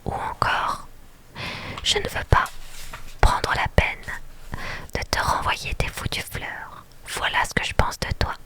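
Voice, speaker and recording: Storyteller whispering a pushy blunt woman friend, slow bright whisper. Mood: scornful. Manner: whispered throughout. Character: brash woman friend hosting a dinner, blunt.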